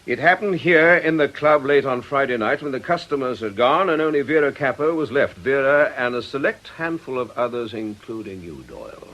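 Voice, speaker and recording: A man playing a stern, forceful priest in a radio drama, grave, insistent and accusing. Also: mid-range voice, expressive, moderate pace, few pauses, lo-fi sound, natural voice.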